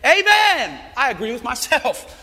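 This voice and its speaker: Hoarse youth pastor, male, high bright voice, preaching in a reverberant church hall. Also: huge pitch swings, measured pace, a little hiss or hum. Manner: swings between loud fired-up calls and low earnest appeals. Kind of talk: sermon.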